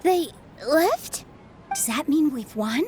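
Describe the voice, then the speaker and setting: A woman playing a gentle, sincere young dancer in a video game, modest but firm. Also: high voice, lively intonation, slow. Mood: weary relief. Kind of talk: scripted game voice acting. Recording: music clearly behind.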